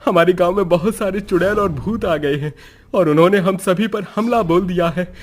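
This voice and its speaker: A man's voice: high voice, moderate pace. A man voicing a frightened young villager who pleads urgently for help in a cartoon story. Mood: panicked, pleading.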